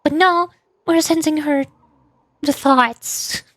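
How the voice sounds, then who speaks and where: High-voiced woman streaming a game. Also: slow pace, a bit bright, dry recording, clean studio sound. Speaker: streamer and VTuber. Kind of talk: casual game commentary with voice acting.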